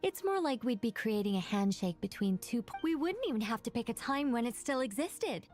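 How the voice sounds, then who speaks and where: A woman's voice: a little high, big pitch swings, a little fast, darkish tone, soft background music. A woman voicing an eager young scholarly mystic in an anime-style video game, wordy and flustered, trailing off and correcting herself. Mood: absorbed, explaining.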